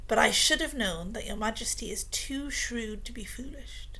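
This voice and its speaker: A woman voicing a scheming, smooth-talking male royal adviser, low and confiding, slow and emphatic. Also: bright, forceful tone, exaggerated voice, poor audio. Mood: fawning.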